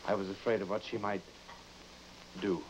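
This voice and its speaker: An actor playing a haunted, fearful husband in an old-time radio horror drama, speaking in a halting, melodramatic way and repeating words for emphasis. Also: male voice, lowish voice, level tone, close to an everyday voice. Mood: haunted, resigned. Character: tormented husband haunted by dead woman's letters, brooding and fearful. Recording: dull, noisy old radio broadcast recording.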